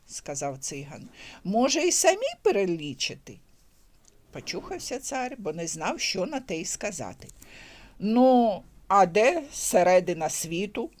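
An older woman with a Ukrainian accent, a grandmother reading a bedtime fairy tale aloud in a tender, sing-song way. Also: mid-range voice. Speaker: grandmother storyteller.

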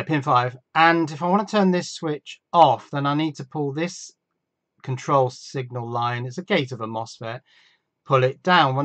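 Male electronics hobbyist, medium pitch, casual and musing, thinks aloud with muttered self-questioning asides. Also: fairly bright tone, dry recording. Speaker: electronics hobbyist video maker. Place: indoors at a workbench.

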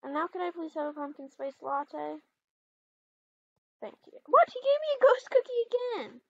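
High, very animated woman gamer. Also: moderate pace, creaky, no echo, dull audio. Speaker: gaming video host, mother playing with her daughter. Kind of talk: casual role-play chat over gameplay.

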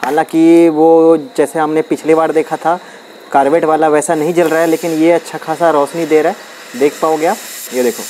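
A man's voice: on the high side, steady tone, moderate pace, darkish tone, a little hiss or hum, rough recording. A man chatting casually as he shows a hands-on experiment step by step, recorded outdoors on a phone held over his hands. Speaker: DIY experiment vlogger. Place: outdoors on a concrete floor, at dusk.

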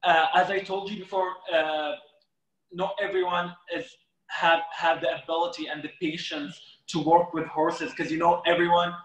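A young man hosting a video call interview, agreeable and quick with short affirmations. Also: fairly high pitch, fairly bright tone. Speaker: host. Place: room indoors. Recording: roomy video call audio.

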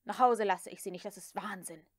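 A woman voicing a cool, mocking gentleman who takes command, as part of an audiobook reading. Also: mid-range voice, a little fast, no echo, clean studio sound, close to an everyday voice. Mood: sharp, disbelieving. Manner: sardonic, crisp, commanding. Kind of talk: dramatic audiobook character reading.

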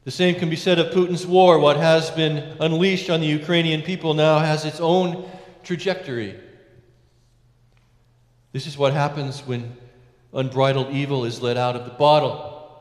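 A middle-aged man, a priest, preaching a sermon from a lectern in a large church, measured with emphatic rises and hushed endings. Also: fairly high pitch. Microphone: lectern mic, far camera. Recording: echoey church with long reverb.

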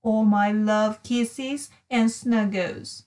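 A woman's voice: medium pitch, fairly even intonation, drawn-out words, a little mellow. A woman voicing a homesick young male meerkat writing home in a children's storybook, plaintive and earnest. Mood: affectionate. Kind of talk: children's storybook read-aloud.

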